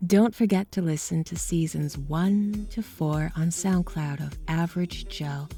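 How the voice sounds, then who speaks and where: A woman's voice: on the low side, mellow. A woman podcast host reading an outro announcement.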